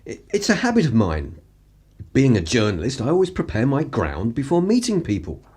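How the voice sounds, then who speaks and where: A man's voice: mid-range voice, wildly swooping pitch, moderate pace, darkish tone, slightly rough. A man with an upper-class English accent playing an urbane, wry 1930s journalist in a radio play, languid and drily superior. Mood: defensive, cool. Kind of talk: period radio play acting.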